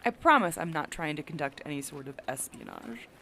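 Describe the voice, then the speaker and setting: Low-voiced, animated woman engineer, played by an actress. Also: fairly quick, a little hiss or hum, full character voice. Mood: coy, guarded. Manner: well-spoken, articulate. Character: Sarah, intelligent, curious, coy woman engineer in special projects. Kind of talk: audio drama dialogue.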